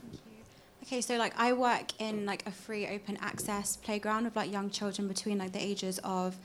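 Flat, halting woman asking from the audience. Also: mid-range voice, slight background noise. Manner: halting, frequent "like". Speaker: audience member asking a question. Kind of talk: casual spoken question. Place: live panel event.

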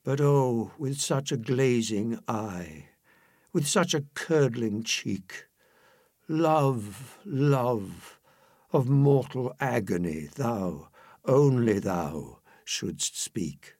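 A man reading poetry aloud in a theatrical, solemn and measured recitation style. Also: mid-range voice, expressive, a little mellow, dry recording, studio quality. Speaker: poetry reader.

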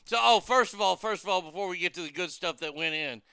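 Brash, bright-voiced talk radio host. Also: male voice, high-pitched, fast, creaky, clean tone, no echo. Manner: loose, irreverent, crude, reads listener messages aloud. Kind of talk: casual talk radio banter.

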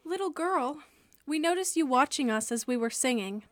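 High-pitched lady caroler acted by a woman, generous, kindly, encouraging, radio drama, dry recording. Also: animated, normal speed, no room sound. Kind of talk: radio play acting.

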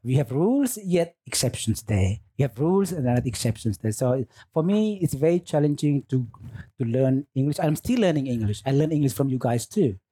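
Mellow, husky man. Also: medium pitch, big pitch swings, brisk, no echo. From Indonesia. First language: Lamaholot. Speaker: teacher, interview guest. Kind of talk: relaxed radio interview. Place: studio.

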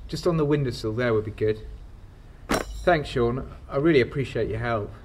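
A man's voice: medium pitch, normal speed, noisy background, natural voice. A man playing an awkward, apologetic young British bachelor who stammers, in a comedy audio drama. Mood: sheepish. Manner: hesitant, stammering.

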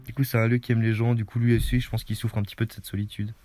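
A man's voice: medium pitch, level tone, racing, warm tone. A young man, a student talking casually and reflectively about his room, with many fillers and restarts. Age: young adult. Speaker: student interviewee.

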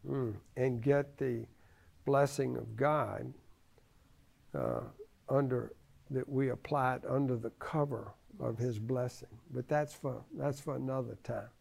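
A man in his seventies with a creaky voice, giving conversational religious teaching in a halting way, repeating phrases for emphasis. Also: medium pitch, a little mellow. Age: 70s.

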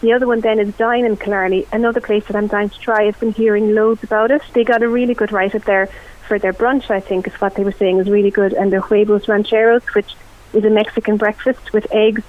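A woman's voice: mid-range voice, flat delivery, fast. A middle-aged Irish woman giving an enthusiastic, chatty food report on the radio. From Ireland. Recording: tinny phone line.

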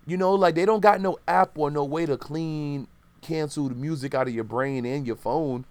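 A man's voice: on the high side, normal speed, a little mellow, dry recording. A man in his thirties in casual podcast chat, rambling and opinionated, often correcting himself mid-sentence.

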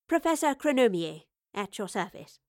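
A woman's voice: a little high, sing-song, moderate pace, darkish tone, no echo, clean studio sound. A woman voicing a polite, inquisitive lady professor in an audio story, with formal, precise, old-fashioned courtesy. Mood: courteous, curious.

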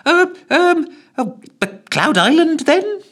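A man's voice: very high-pitched, very animated, takes it slowly, a bit bright, pitched above the performer's own voice, heavily acted. A man voicing a pompous, easily flustered male defence secretary in a storybook reading. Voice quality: blustering, flustered.

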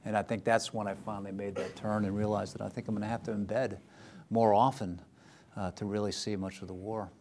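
A man speaking as a war journalist on a panel, reflecting in a halting way and repeating phrases as he thinks. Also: on the low side, level tone, darkish tone, a little hiss or hum. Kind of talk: reflective remarks in a panel discussion.

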